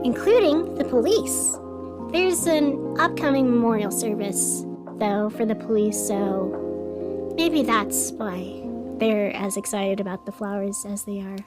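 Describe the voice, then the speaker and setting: A woman playing a perky, whimsical radio host of a strange fictional city, narrating theatrically in a scripted podcast. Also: high voice. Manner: whimsical, theatrical, alliterative storytelling. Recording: music under the voice. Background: music.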